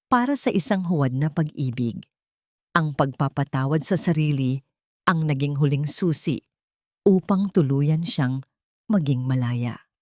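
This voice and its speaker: Female Filipino storyteller, slightly low voice with lively swings in pitch, measured dramatic narration, close dry sound. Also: no room sound.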